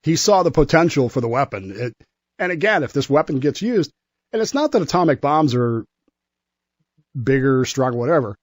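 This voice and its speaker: A man with a medium-pitched voice tells history in a conversational way. He is enthusiastic and emphatic, sometimes doubling back on his words. The sound is close and dry, with little room to it. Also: very animated, moderate pace, no echo. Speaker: history segment guest.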